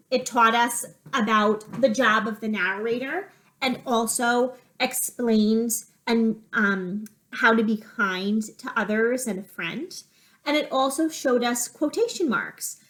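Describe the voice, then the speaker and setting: Higher-voiced woman teacher reading aloud. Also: fairly bright tone. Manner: explanatory, simple wording for children. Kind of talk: picture-book read-aloud with teaching asides.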